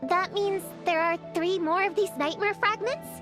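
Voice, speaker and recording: A woman voicing a tiny, childlike, bossy fairy companion in a video game, with a squeaky, excitable delivery. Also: normal speed, music in the background. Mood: worried, urgent.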